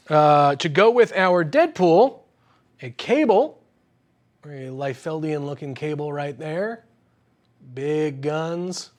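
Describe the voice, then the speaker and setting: Excitable man hosting an unboxing. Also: a little high. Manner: enthusiastic, exclamatory, slangy. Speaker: host of an unboxing show. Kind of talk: casual, excited product unboxing chat.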